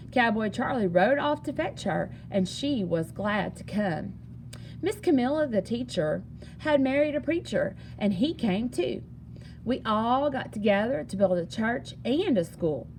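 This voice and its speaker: A woman reads a children's story aloud in a high, expressive voice that rises and falls with singsong animation. There is noticeable background noise in the recording. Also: rough recording. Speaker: story reader. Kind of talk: children's picture book read-aloud.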